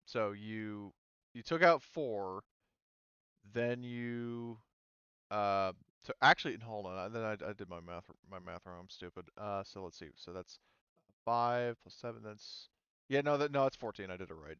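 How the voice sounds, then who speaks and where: A man running a tabletop roleplaying game as game master, talking haltingly and thinking aloud as he goes. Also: on the low side, fairly bright tone, clean tone. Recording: roomy, slightly muffled microphone.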